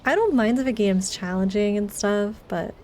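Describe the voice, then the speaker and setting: A woman's voice: mid-range voice, normal speed, a little mellow, slight background noise. A woman streaming a video game, chatting casually with her viewers as she plays. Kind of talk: casual chat with viewers during gameplay.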